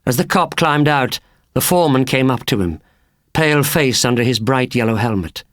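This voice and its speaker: A man's voice: medium pitch, normal speed, fairly bright tone, dry recording, studio quality. A man reading a thriller story as a dramatic, scripted audiobook narration.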